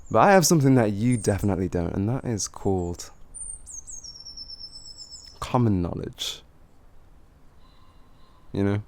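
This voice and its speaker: Man acting a cocky, low-voiced fantasy bandit. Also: expressive, a little mellow. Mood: condescending, warning.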